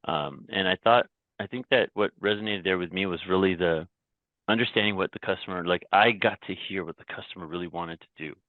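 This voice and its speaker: A man's voice: sits low, a little fast, no room sound. A man who grew up in Canada, a podcast guest and company founder telling his story reflectively, often restarting and repeating words. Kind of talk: casual interview talk. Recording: dry, tinny phone-like remote line.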